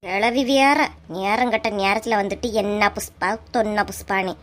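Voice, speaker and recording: A woman's voice: medium pitch, normal speed, natural voice. A woman with a Kanyakumari Tamil accent voicing a jealous, scheming village gossip in a comic cartoon, muttering scornfully. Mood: irritated, scheming.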